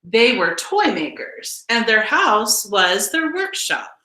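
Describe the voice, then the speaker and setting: A woman reading a children's picture book aloud in an expressive, deliberate storytelling style. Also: a little high, echoey room. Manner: measured, deliberate storytelling delivery with emphasized phrases. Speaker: storybook reader.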